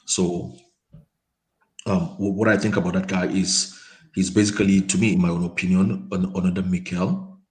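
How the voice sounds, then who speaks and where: A man with a very low voice talks football in a relaxed chat. His speech halts often, full of repeated words and ums. He comes through a quiet microphone on an online call. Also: normal speed. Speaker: panel guest. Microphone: remote call mic, quiet.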